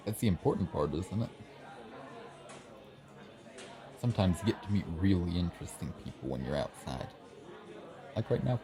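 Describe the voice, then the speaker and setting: A man playing a shy, friendly young writer in an ASMR roleplay, chatting bashfully and earnestly, a little hesitant. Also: low-pitched. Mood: friendly, reflective. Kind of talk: ASMR roleplay, soft conversational acting. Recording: busy café crowd murmur behind. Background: café crowd chatter.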